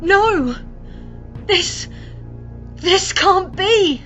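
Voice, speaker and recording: An actress playing a shaken but defiant young alchemist and vampire hunter, dramatic and distraught, in an audio drama. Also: female voice, very high-pitched, animated, drawn-out words, a bit bright, dull audio, soft background music, exaggerated voice. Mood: shocked denial. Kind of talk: fan audio drama acting.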